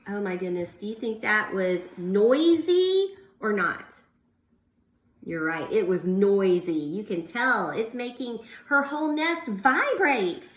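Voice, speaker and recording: A woman reading a picture book aloud to young children as a teacher, expressive and drawn-out, pausing to put questions to them. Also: medium pitch. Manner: animated, drawn-out delivery, prompting young listeners. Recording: roomy, echoing indoor sound.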